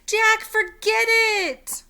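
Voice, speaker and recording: Woman doing a high, urgent little girl. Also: fairly even intonation, extremely slow, heavily acted. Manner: urgent shouting. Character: bold shouting young girl adventurer.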